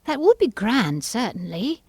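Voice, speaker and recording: A woman puts on a well-mannered storybook little girl, high and lilting. She is earnest and full of questions, and quick to take offence. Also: big pitch swings, background noise. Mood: thoughtful, curious.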